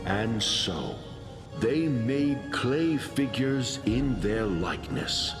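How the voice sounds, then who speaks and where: An actor playing a solemn narrator of an ancient myth in a video game, grave, measured and dramatic, in the manner of epic storytelling. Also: male voice, mid-range voice, sing-song. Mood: solemn, reverent. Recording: music under the voice.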